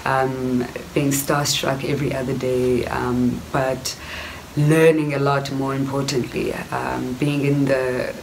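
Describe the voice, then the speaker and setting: A woman's voice: a bass voice, little pitch movement, slow pace, gravelly, darkish tone, a little hiss or hum, poor audio. A young South African woman with a South African accent, recording herself indoors and telling her story in a reflective, casual way, often saying "you know". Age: young adult. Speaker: actress. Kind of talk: casual personal storytelling to camera. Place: indoor room before wooden cupboards. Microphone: camera at close range.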